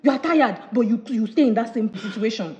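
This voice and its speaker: A woman's voice: a little high, expressive, a little fast, a little mellow, lo-fi sound, close to an everyday voice. A woman playing an outspoken friend who scolds the abused wife. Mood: scolding. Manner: scolding, exclaiming, preachy. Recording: echoey room with faint noise.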